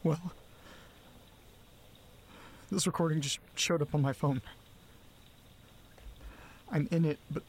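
Actor as an anxious, halting young man, higher-pitched voice. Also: darkish tone. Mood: confused, frustrated. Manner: hesitant, halting, faltering, pleading. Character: anxious, distressed young man phoning his mother. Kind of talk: audio drama acting, one-sided phone call.

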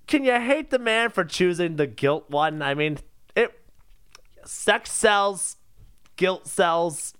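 A man's voice: high voice, lively intonation, takes it slowly, a bit bright, clear, resonant tone, no room sound, clean studio sound. A man co-hosting a podcast in casual, comedic conversation. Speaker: podcast co-host.

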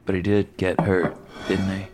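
A man's voice: deep voice, flat delivery, normal speed, lightly acted. A man playing a wry, suspicious lover on the run in an audio fiction drama. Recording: reverberant, clearly noisy ambient sound scene.

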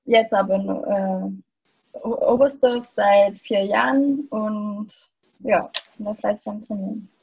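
A woman's voice: mid-range voice, steady tone, takes it slowly, creaky, breathy edge, narrow, tinny audio. A nineteen-year-old woman from the Erzgebirge, introducing herself hesitantly as a podcast guest. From Erzgebirge, Germany. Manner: hesitant, many fillers. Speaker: ski jumper, podcast guest. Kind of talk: casual self-introduction.

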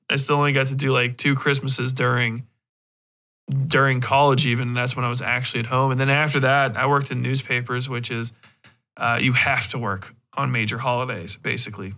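A man hosting a podcast, chatting casually and rambling in a halting, conversational way. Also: medium pitch, little pitch movement, sharp tone. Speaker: podcast host. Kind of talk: casual rambling solo chat.

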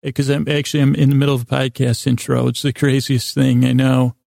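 A man with a heavily creaky, gravelly voice and a soft, dark tone hosts a bedtime podcast. He speaks in a narrow, even range, wandering and halting as he interrupts himself. The delivery is improvised and goofy. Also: mid-range voice, dry recording, clean studio sound. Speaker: podcast host.

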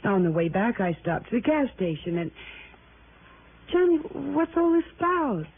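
Woman playing chatty American housewife, evasive, flustered stammer, lively intonation, mid-century radio drama, tinny hissy recording. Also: medium pitch, normal speed, close to an everyday voice. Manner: talkative, stammering, deflecting. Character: chatty, evasive married woman growing flustered under questioning. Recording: noisy, narrow-band vintage radio recording.

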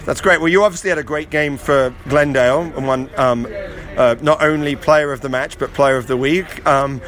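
A man interviewing a rugby player in a casual way, halting with frequent ums. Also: medium pitch, fairly bright tone.

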